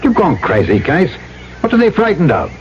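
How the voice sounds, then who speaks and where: An actor playing an uneasy, stubborn island trader who narrates and questions dramatically in an old-time radio drama. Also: male voice, a little high, wildly swooping pitch, normal speed, a little hiss or hum. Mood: agitated, demanding. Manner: dramatic first-person storytelling and pointed questioning. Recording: muffled old radio broadcast with music.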